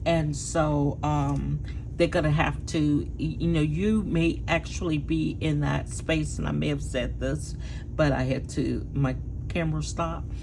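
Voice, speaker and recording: Woman, hoarse voice, deep and slow-paced, halting solo tarot reading, steady noise behind her. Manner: slow, halting, with fillers and restarts. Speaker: tarot reader.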